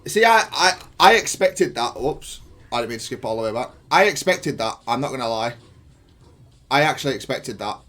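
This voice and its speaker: A man's voice: medium pitch, lively intonation, normal speed, fairly bright tone. A man giving casual gameplay analysis of his own match in an informal, matter-of-fact, self-critical way. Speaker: gaming content creator reviewing own match.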